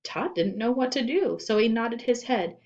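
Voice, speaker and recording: A woman reading children's fiction aloud as expressive scripted narration. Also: on the high side, normal speed, highs cut off. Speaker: storybook reader.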